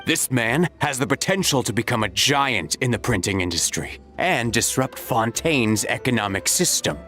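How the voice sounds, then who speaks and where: Haughty, animated mercenary henchman. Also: mid-range voice, moderate pace, faint music behind. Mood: haughty, confident. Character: mercenary male debt-collector henchman, loyal to money. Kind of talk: video game voice acting.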